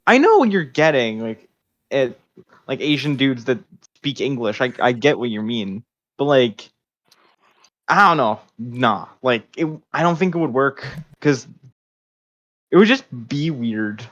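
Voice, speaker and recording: A man co-hosting a podcast in slangy, halting casual talk full of filler words. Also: medium pitch, expressive, fairly bright tone, lo-fi sound. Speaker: podcast co-host.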